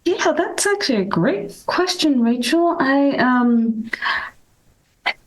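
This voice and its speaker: A woman's voice: high voice, expressive, slow pace, poor audio. An American woman, a podcast guest, telling stories in a casual interview with many ums and restarts. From United States. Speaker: podcast guest, committee chair.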